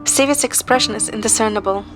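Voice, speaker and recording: A woman's voice: fairly high pitch, quick pace, rough recording. A young woman narrating a romantic story in the first person and acting out dialogue, over music. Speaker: amateur narrator and voice actor. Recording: music behind the voice.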